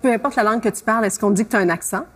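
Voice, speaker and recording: A woman interview host with a Québécois accent, asking curious, lively questions and laughing easily. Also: mid-range voice, racing. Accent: Québécois French. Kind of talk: conversational interview.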